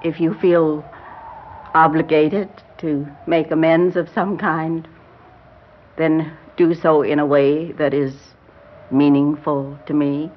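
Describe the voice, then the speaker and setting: A woman's voice: low-pitched, little pitch movement, slow pace. An actress playing a proud, grieving old grandmother in a 1960s American radio drama, speaking formally and emphatically. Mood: grieving, pleading. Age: older. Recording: dull vintage radio recording.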